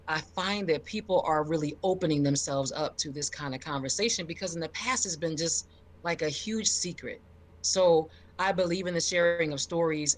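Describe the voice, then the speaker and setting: A middle-aged American woman from Philadelphia telling her own story on a live show in an emphatic, expressive, high-energy way. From Philadelphia, United States.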